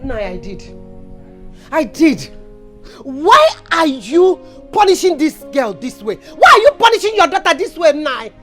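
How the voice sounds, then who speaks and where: A woman's voice: high voice, very animated, unhurried, fairly bright tone, soft background music, full character voice. A protective Nigerian mother and wife in an emotional film drama, exclaiming and repeating herself insistently. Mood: confronting, pleading. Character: protective, indignant mother and wife standing up for her daughter.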